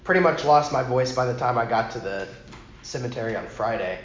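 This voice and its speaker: A man with a hoarse, worn-out voice, teaching an informal church class in a soft, rambling way that corrects itself often. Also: mid-range voice, fairly quick. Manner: rambling, self-correcting, talks softly. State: hoarse, lost his voice. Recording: muffled, echoey room with faint noise.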